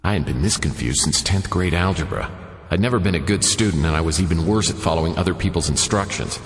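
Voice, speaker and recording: An actor playing a wry, world-weary private detective, narrating his own mishaps in a deadpan, self-mocking noir radio play style. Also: low-pitched, fast. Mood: wry narration. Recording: long reverb, music behind. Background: music.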